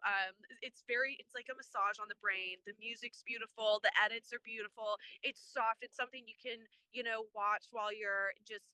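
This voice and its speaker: A woman's voice: on the high side, bright, forceful tone, smooth, dry recording, rough recording. A woman landscaper speaking as a panel guest in a casual chat, enthusiastic and rambling, with many fillers.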